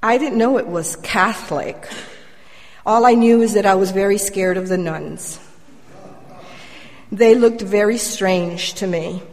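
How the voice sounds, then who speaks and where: An older woman with a medium-pitched voice tells a prepared personal story as a church member. Her delivery is measured with wry humour, and it carries through a microphone into a reverberant hall. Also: a little mellow. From Colombia.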